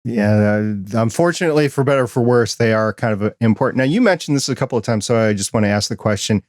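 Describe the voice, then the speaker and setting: A male podcast host chats easily with his guest, asking curious questions. His voice sits at an ordinary middle pitch. The sound is dry and close, with no room echo. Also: fast talker. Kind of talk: casual interview chat.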